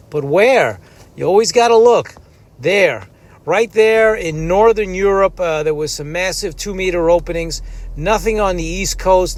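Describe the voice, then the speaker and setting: A man who makes amateur radio videos, speaking casually as he narrates and operates. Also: high-pitched.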